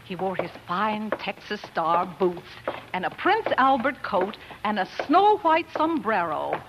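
An actress playing a spirited, proper young pioneer wife who also narrates an old-time radio drama, with genteel, emotive delivery. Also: female voice, mid-range voice, sing-song, a little slow, highs cut off, noisy background. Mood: wistful, reminiscing.

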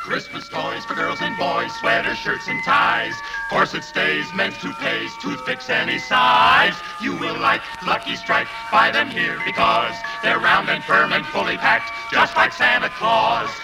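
An actor playing an elevator operator who chants rhymed store lists like a 1940s radio jingle. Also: male voice, extremely high pitch, measured pace, creaky, few pauses, over loud music, heavily acted, rough recording. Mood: cheery sing-song. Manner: sing-song rhymed patter, jingle-like. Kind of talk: 1940s radio singing commercial. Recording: old radio broadcast.

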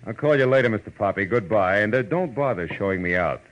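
An actor playing a hard-boiled, wry private detective in old-time radio noir, speaking in a tough, clipped way. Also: male voice, lowish voice, expressive, fast talker, close to an everyday voice. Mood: wry, probing. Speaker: actor narrating and starring in a radio drama. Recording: dull old radio broadcast with music.

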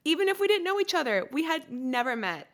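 A woman from Costa Rica hosting a podcast, chatty and animated, laughing easily as she talks. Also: high-pitched, brisk. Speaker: podcast host. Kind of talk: casual podcast interview.

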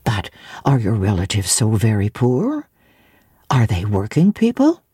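A woman voicing a kindly gentleman apothecary in a storybook, gentle, musing and questioning. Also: extremely low pitch, huge pitch swings, a little slow, breathy edge, no room sound, clean studio sound, voice pushed down, heavily acted. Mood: gently questioning. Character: kindly, probing middle-aged gentleman apothecary.

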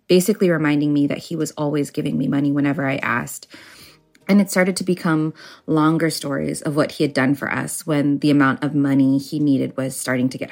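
Low-voiced woman in her thirties. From United States. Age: 30s. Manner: reflective, hesitant with ums. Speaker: interviewee sharing a story.

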